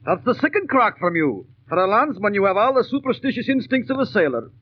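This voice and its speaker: Salty, teasing seaman, vintage radio play, strikingly high voice, dull noisy sound. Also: big pitch swings, a little fast. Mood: teasing, gruff. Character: blustery, teasing seaman aboard a ship. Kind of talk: 1930s radio acting. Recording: noisy, muffled 1930s radio recording.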